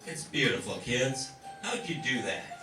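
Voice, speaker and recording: A man playing a polite, gentle family father and traveler in an old-time radio drama. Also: mid-range voice, very animated, unhurried. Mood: tender, surprised. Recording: roomy, distant mic, clear music behind.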